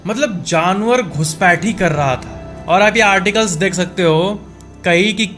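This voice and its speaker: A man with a high-pitched voice narrates an informal facts video over background music. He explains things conversationally, speaking directly to the viewer and restating his points as he goes. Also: measured pace, a bit bright.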